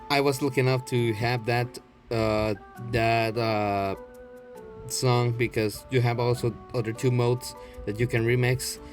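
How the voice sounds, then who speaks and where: A man's voice: medium pitch, steady tone, a little slow, music in the background. A man giving an unscripted, casual show-and-tell as a video blogger, rambling and prone to swearing. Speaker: video blogger showing a toy collection.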